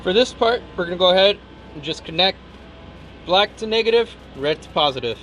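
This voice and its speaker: A man's voice: high-pitched, animated, a little fast, fairly bright tone, slight background noise. A man presenting a step-by-step installation how-to in casual, informal language. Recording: music behind the voice.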